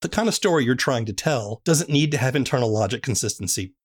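Chatty male podcast host, breathy. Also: medium pitch, expressive, fast talker. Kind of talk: casual interview chat.

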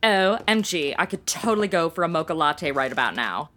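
A woman's voice: big pitch swings, fairly quick, sharp tone, full character voice. A woman doing a brash, theatrical modern girl. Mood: snarky. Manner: theatrical, pitch all over the place. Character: brash modern young woman stuck in 1950s. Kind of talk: comedy radio play.

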